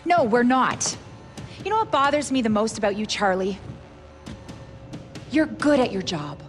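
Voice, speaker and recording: A woman's voice: fairly high pitch, big pitch swings, measured pace. Blunt female intelligence officer. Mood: cold, reproachful. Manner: blunt, cool, authoritative. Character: tough, sharp-tongued female intelligence operations officer. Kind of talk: video game cutscene acting. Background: cinematic music.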